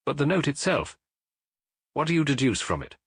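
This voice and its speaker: A man voicing a keen, self-assured Victorian gentleman detective in an audiobook, with a British accent and an incisive, didactic manner. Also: mid-range voice, sing-song, normal speed, breathy edge, no echo, studio quality, pitched above the performer's own voice. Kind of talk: audiobook character voice.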